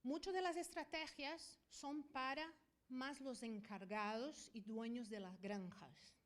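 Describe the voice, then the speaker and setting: A high-pitched middle-aged woman, a Portuguese native from Brazil, speaking Spanish with the accent she picked up living in Spain. She lectures at a conference in a teacherly way and keeps checking in with the audience. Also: steady tone. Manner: didactic, often checks in with a quick "ok?". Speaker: veterinarian and university professor giving a talk. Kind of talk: conference presentation.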